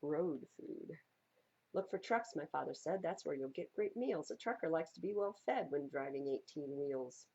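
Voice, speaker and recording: A woman reading a picture book aloud at a library storytime in a playful, theatrical way, with a husky voice. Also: deep voice, animated, moderate pace, mellow, highs cut off. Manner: playful, theatrical, stresses and repeats words for young listeners. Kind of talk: expressive read-aloud of children's picture books.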